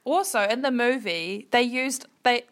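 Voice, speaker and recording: Casual co-host: New Zealand woman, animated storyteller, laughs easily, acts out quotes. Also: fairly high pitch, a little slow. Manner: animated, laughs often, quotes others in lively voices. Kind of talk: casual chat.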